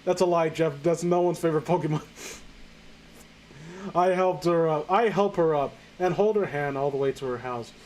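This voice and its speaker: A man reading fan fiction aloud casually, breaking off with sarcastic, halting, sweary commentary. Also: on the high side, darkish tone.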